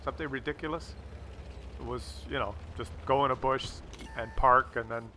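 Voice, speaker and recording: A man's voice: medium pitch, fairly even intonation, normal speed, sharp tone, slight background noise. A man giving casual commentary on a game replay, with a jokey, crude sense of humour and a habit of repeating his phrases. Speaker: host of gameplay commentary video.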